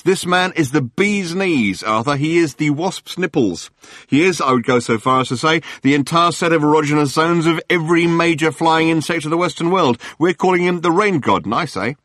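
A man voicing a gushing, fast-talking male tabloid journalist who babbles silly nicknames in a breathless torrent. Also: a little high, fairly bright tone, no room sound, studio quality, voice pushed up. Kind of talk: audiobook character voice.

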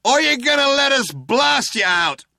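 A man voicing a shouting, trigger-happy cop who earnestly insists on how sensitive he is, in a comic science fiction audiobook. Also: extremely high pitch, sing-song, normal speed, creaky, no echo, clean studio sound, pitched above the performer's own voice, heavily acted. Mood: menacing, earnest. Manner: shouting, earnest, self-justifying. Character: loud-hailer cop, shouting, self-consciously sensitive, trigger-happy tough guy.

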